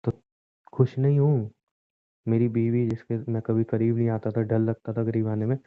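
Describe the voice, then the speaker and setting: A man's voice: mid-range voice, level tone, normal speed, clear, resonant tone, no room sound, natural voice. A man acting as a devoted, reassuring young husband in a conversational romantic audio drama, earnest with a touch of teasing bossiness. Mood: happy, insistent. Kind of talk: romantic phone-call style audio drama in Hindi. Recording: muffled, narrow phone-like audio.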